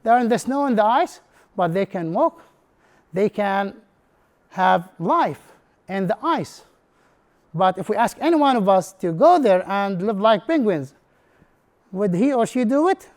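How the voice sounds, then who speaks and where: Man with high voice, Arabic native speaker. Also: animated, unhurried, long pauses. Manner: non-native English, asks the audience questions. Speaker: instructor giving a presentation. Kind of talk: prepared talk to an audience. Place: room with an audience.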